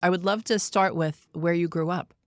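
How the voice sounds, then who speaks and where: A woman's voice: on the low side, fairly even intonation, normal speed, no echo, studio quality. A woman speaking as a podcast host, reading announcements and interviewing a guest. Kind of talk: scripted podcast intro and interview.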